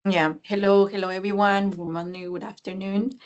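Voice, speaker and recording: A woman's voice: medium pitch, flat delivery, moderate pace, lo-fi sound. A woman from Ecuador answers as a panelist, searching for words with many fillers and tag questions. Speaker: panelist, development bank specialist. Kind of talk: conversational expert answer. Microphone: video call.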